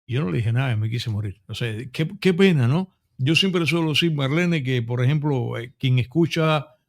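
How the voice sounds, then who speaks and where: A Cuban man in his fifties with a Cuban accent, hosting a podcast interview and telling stories in a lively, chatty way full of asides. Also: mid-range voice, normal speed. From Cuba. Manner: animated storyteller, full of restarts and asides. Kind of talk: casual conversational interview.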